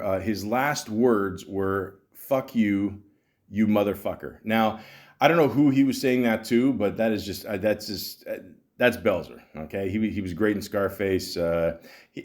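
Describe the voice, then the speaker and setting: A man's voice: fairly low pitch, normal speed, darkish tone. A man hosting a podcast, delivering a rambling, profane comic monologue full of restarts. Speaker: podcast host. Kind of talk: casual comedic monologue.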